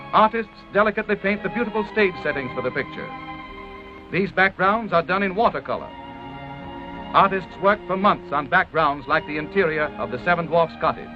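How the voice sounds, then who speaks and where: An American man narrating a 1930s promotional film in a formal, grandiose announcer style from a script. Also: high voice. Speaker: documentary narrator. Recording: dull vintage 1930s film soundtrack, music. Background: orchestral music.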